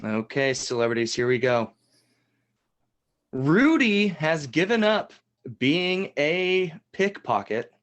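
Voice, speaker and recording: A woman's voice: low voice, very animated, slow, exaggerated voice. A woman playing a chatty, reassuring game show host in an improvised parody, stretching words for suspense like an announcer. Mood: upbeat, reassuring.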